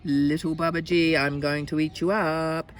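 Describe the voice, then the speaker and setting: A woman's voice: low voice, flat delivery, measured pace, slight background noise. A woman voicing a vain, threatening male tiger in a children's storybook. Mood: menacing. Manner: boastful, threatening. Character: grand, menacing, vain male tiger, easily persuaded.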